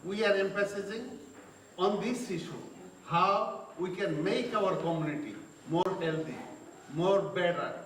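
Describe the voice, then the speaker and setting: A man's voice: high voice, unhurried, a bit bright. A man addressing a community seminar, earnestly urging people to seek help. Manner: earnest, imploring, with uneven phrasing. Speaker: seminar speaker. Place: large echoey hall. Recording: echoey hall, distant, faint noise.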